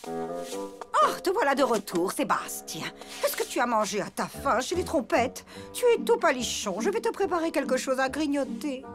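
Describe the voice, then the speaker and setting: A woman playing a fussy, effusive mother in a French children's cartoon, with loud music behind her. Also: high-pitched, big pitch swings, moderate pace. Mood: doting. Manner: effusive, exaggerated.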